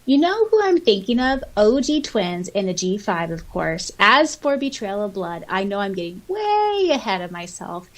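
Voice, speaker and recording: Female podcast co-host, medium pitch, casual, enthusiastic and giggly delivery. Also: sing-song, normal speed, few pauses. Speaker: podcast co-host and audiobook voice actor. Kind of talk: casual podcast chat, reading out listener comments.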